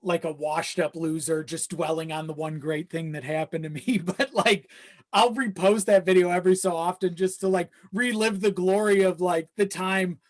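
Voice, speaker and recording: Podcast guest for casual conversation: mid-pitched voice, self-deprecating, loose and profane. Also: steady tone, normal speed. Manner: informal, sweary, self-deprecating. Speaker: video editor.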